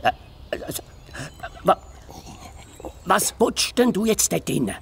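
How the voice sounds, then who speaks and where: A man with a Swiss German accent playing a bossy, cocky fox inspector, gruff and dismissive, in a children's detective radio play. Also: high-pitched, very animated, takes it slowly, heavily acted. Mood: flustered. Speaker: actor in children's audio drama.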